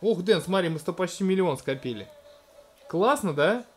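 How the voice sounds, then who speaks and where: A man in his thirties casually commenting on a video game in a gentle, coaxing way, talking to a small child beside him at home. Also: high-pitched, lively intonation, a little fast, faint music behind. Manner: gentle, coaxing, talks to the child, narrates what is on screen. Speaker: let's-play host playing with his toddler.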